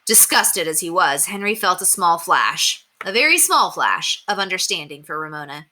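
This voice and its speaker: Woman storyteller, lowish pitch, very bright forceful tone, expressive children's read-aloud. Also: normal speed. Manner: animated, acts out dialogue.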